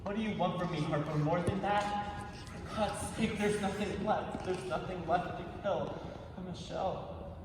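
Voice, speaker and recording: A man's voice: high voice, measured pace. A man playing a repressed, devout, defensive young husband in a live dramatic stage reading. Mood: pleading, tormented. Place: large reverberant museum hall. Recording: echoey hall from far off, noisy.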